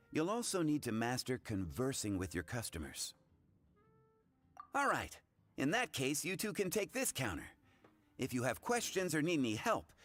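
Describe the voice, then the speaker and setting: An actor playing a friendly, seasoned bartender in a video game, talking in an affable, instructive way. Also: male voice, medium pitch, a bit bright. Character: friendly, seasoned male bartender mentoring beginners.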